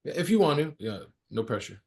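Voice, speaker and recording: A man's voice: a little high, big pitch swings, fairly quick, creaky, a little mellow. A man playing a laid-back, friendly young ski guy in a screenplay table read, casual and teasing. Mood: warm, casual. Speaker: actor at a script table read. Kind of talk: casual naturalistic screenplay reading.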